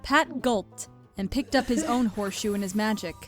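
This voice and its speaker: Woman narrating a story over soft music. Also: fairly high pitch, animated, normal speed. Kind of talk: scripted storybook narration of fan fiction.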